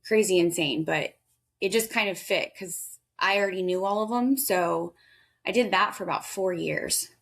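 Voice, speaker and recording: A woman in her thirties, a guest in an interview, talking in a chatty, energetic way with a big voice and often quoting herself. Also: medium pitch, moderate pace, vocal fry. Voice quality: loud, big voice. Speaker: guest, veterans outreach worker. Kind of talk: casual interview talk.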